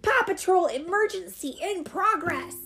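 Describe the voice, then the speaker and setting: A woman voicing an eager, heroic male police puppy with a loud, official way of talking in a children's storybook. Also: very high-pitched, wildly swooping pitch, slow pace, pitched above the performer's own voice, full character voice. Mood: urgent, official.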